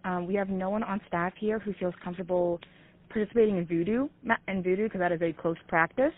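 A woman handling a customer service call, polite, careful and hesitant, over a phone line. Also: lowish voice. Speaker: puppet theater phone receptionist.

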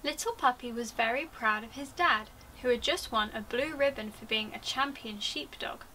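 A woman's voice: a little high, normal speed, bright, forceful tone, recorded from a distance, a little hiss or hum, low-quality recording. A British woman in her twenties reading a storybook expressively to young children, as a teacher would. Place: small attic bedroom, indoors.

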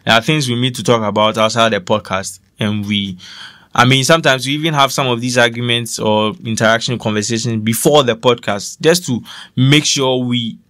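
A man's voice: medium pitch, moderate pace, pushed and bright, no room sound, clean studio sound. A young man with a Ghanaian accent, co-hosting a podcast and talking earnestly, often checking "you understand?". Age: young adult. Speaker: podcast co-host. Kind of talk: casual podcast discussion.

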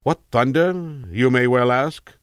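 A man voicing an elderly, highly cultured tramp, an eccentric and testy gentleman in a storybook tale. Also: slow, clear, resonant tone, no room sound, clean studio sound, lightly acted. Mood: chatty, knowing. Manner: highly cultured, posh, politely indignant.

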